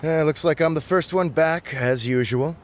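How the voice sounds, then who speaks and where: A man's voice: on the high side, normal speed, over loud music, natural voice. A man playing a cocky, boastful young informant in a video game, with a smug, theatrical delivery. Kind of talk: video game character dialogue.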